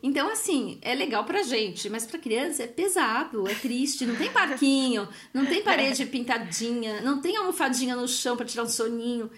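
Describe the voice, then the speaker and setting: A woman from Brazil, living abroad, telling a family story in a relaxed, animated way, often laughing and acting out what others said. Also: high-pitched, normal speed, hardly any pauses, slight background noise. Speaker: guest. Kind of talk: casual conversational storytelling. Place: Italy.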